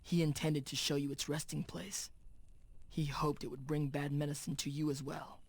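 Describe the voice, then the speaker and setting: An actor playing an Apache chief's son in a video game, solemn, shrewd and formal in his measured speech. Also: fairly high pitch, flat delivery, slightly rough, no echo. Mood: firm, solemn. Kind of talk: dramatic video game cutscene acting.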